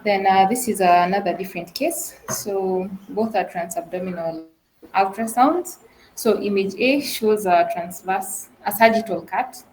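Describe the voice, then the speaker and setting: A woman's voice: medium pitch, a little slow, a little mellow, a little hiss or hum, rough recording. A woman trainee presenting medical imaging cases in an explanatory style. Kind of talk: case presentation of medical images.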